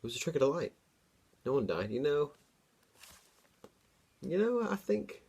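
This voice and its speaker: Man, lowish pitch, amused, informal, dramatic story reading, casual comic commentary. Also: darkish tone. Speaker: host reading his own childhood story.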